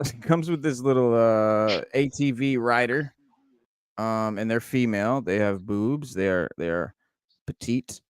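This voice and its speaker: A man's voice: medium pitch, slow pace, clear, resonant tone, no room sound, clean studio sound. A man co-hosting a casual toy unboxing chat, rambling and profane, often restarting sentences. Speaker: podcast co-host.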